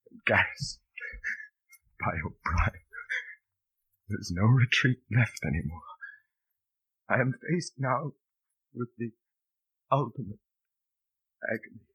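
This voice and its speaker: An actor playing a desperate, anguished prisoner under torture in an old radio drama, halting and strained in delivery. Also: male voice, on the low side, creaky, a bit bright, breathy edge, exaggerated voice. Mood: bleak dread. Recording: muffled vintage radio recording.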